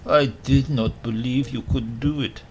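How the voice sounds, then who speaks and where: A man voicing a devoted, anguished woman, a proud and reproachful wife, with slow, mournful delivery in a horror story. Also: mid-range voice, steady tone, dull audio, background noise, close to an everyday voice. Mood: wounded reproach.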